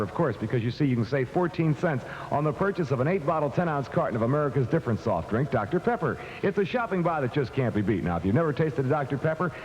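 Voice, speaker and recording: A male disc jockey giving punchy, excitable, conversational Top 40 patter in 1970. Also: a little high. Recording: dull vintage AM radio aircheck. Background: pop music.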